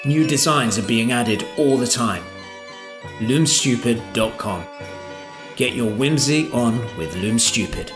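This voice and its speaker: A man's voice: mid-range voice, lively intonation, slow. A man reading a scripted commercial in an upbeat, polished promo style. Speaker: ad announcer. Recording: music bed behind.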